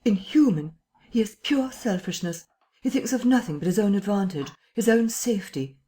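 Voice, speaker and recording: A woman's voice: mid-range voice, dark tone, breathy edge, voice pushed up. A woman reader voicing an agitated man who urgently presses the police to act, speaking in breathless commands.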